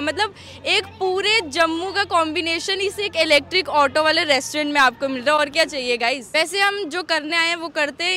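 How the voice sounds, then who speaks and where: A woman's voice: high voice, bright, forceful tone. A woman, presenting and interviewing in a chatty, enthusiastic way and mixing in English words. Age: 20s. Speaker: host and interviewer. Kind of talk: presenter piece to camera and street food interview. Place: outdoors by a roadside food cart. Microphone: handheld microphone. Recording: outdoor street noise with traffic.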